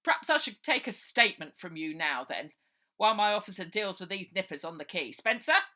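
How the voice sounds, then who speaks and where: Woman acting a blustering, loud old police sergeant. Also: mid-range voice, very animated, a little fast. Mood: officious. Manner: officious, bossy.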